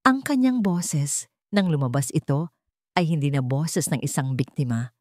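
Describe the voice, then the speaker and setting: A woman with a Filipino accent narrating a scripted dramatic story in a measured, theatrical way, phrase by phrase. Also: low-pitched, big pitch swings, a little mellow, dry recording, clean studio sound.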